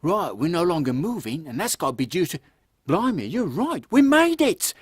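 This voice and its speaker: A husky, very animated voice plays a flustered airship crewman, acted by a man. He is deferential and hesitant in comic audio drama style, recorded dry and close. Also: on the high side, moderate pace, no room sound. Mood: excited.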